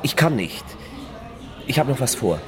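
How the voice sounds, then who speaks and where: A man's voice: medium pitch, big pitch swings, moderate pace, crowd noise, lightly acted. An actor playing an anxious, reluctant man drawn into a murder plan, hesitant and stammering, in a radio crime drama. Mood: evasive, uneasy.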